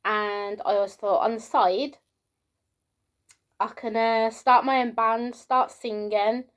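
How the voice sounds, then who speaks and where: A woman from the UK with a soft, girlish voice, talking casually to camera in a rambling monologue full of fillers, her pitch climbing as she gets into the flow. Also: fairly even intonation, slow. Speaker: vlogger.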